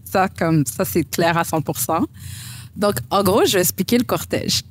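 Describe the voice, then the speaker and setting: A woman's voice: on the low side, normal speed, slight background noise. A woman with a Québécois accent, a bride appearing as a podcast guest, talking at length in a chatty way with many fillers. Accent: Québécois French. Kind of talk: casual interview chat.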